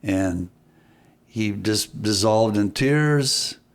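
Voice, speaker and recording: A man with a lowish voice tells stories as a guest in an interview. He is reflective and deliberate. He halts, restarts and repeats key phrases for emphasis. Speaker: podcast guest, filmmaker.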